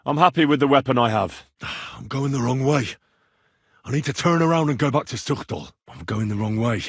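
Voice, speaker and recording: A man playing a young, earnest, plucky medieval blacksmith turned adventurer in a video game, with a plain-spoken, expressive delivery. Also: medium pitch, moderate pace, no room sound, studio quality, natural voice. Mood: questioning, determined. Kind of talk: video game voice acting, dramatic dialogue lines.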